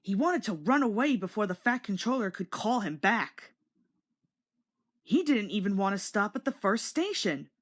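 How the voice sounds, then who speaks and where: A woman's voice: medium pitch, big pitch swings, normal speed. A woman reading a children's story aloud as a scripted read-along narrator.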